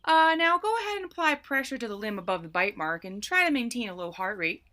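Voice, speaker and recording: A woman playing a calm, procedural emergency dispatcher on a call in an audio drama, giving instructions in a businesslike way. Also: on the high side, fast talker.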